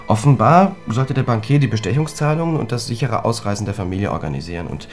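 A male actor plays a sober scholar in a radio drama, setting out a dark history. His voice is mid-range, and he speaks with grave, careful precision. Soft music lies behind him. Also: medium pitch, lively intonation, fast, lightly acted. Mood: grave, explanatory. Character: sober, precise scholarly man recounting a tragedy. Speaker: informant recounting a history. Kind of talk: German radio drama dialogue, explanatory storytelling. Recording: studio radio play with music.

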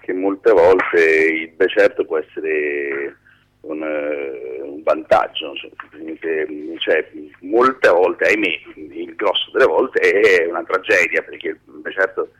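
A man's voice: a bass voice, slow pace, fairly bright tone, slightly rough, rough recording. A man giving an informal radio phone interview as a writing teacher, rambling and often interrupting himself as he explains. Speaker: screenwriting teacher being interviewed.

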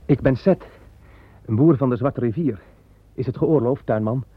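Seth, a young farmer, earnest and frank, as an actor voices him in an old radio play. His voice is husky and close-miked with no room sound. The recording is narrow and tinny, like an old broadcast. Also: mid-range voice, normal speed, no room sound, lightly acted. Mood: eager. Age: young adult. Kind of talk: 1960s Dutch radio drama.

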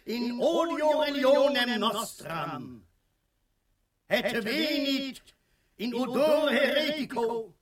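A man's voice: very high-pitched, expressive, takes it slowly, pauses often, heavily acted. An actor in a radio play, a man playing a stern, solemn Spanish bishop giving a ceremonial oration. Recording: echoing space with crowd behind. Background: crowd.

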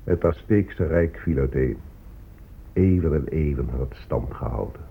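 An actor playing a proud, grave Inca emperor and chronicler in a radio drama, speaking in a stately manner. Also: male voice, extremely low pitch, expressive, unhurried, gravelly, slight background noise, full character voice. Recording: muffled phone-like old radio play.

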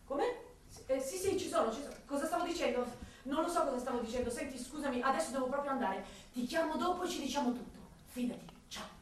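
A woman's voice: high-pitched, lively intonation, fast, distant mic, slight background noise. An actress voicing a vain, flirtatious male cop in a radio drama, on a one-sided phone call with a former lover. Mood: evasive, harried. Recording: very echoey room, off the mic, music behind.

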